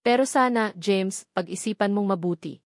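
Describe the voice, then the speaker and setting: A woman narrator plays a gentle, secretive go-between in an audio love drama. She is soft-spoken and earnest, at a middling pitch. The sound is dry and plain. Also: normal speed, no echo, lightly acted.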